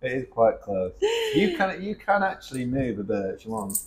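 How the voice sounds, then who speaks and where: A man's voice: medium pitch, huge pitch swings, moderate pace. A man from Cheshire with a North West English accent, hosting a casual podcast chat with teasing banter and lots of tag questions. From Cheshire, England. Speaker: podcast host.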